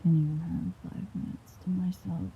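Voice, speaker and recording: A woman playing a sardonic, teasing, world-weary female vampire in an intimate audio roleplay, with a breathy, husky, whispery voice and a dry manner. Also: on the low side, flat delivery, normal speed, phone-quality sound, slight background noise. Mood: irritated, dismissive.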